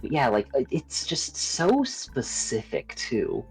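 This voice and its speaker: Woman, very deep pitch, lively intonation, casual conversation, soft music behind. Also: slow, slightly rough, low-quality recording. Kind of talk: casual chat. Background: faint music.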